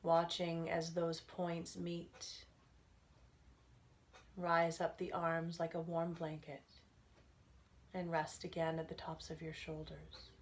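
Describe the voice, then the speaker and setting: A woman leading a guided relaxation as a meditation instructor, speaking gently and soothingly in unhurried phrases with pauses. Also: sits low, monotone, a little hiss or hum.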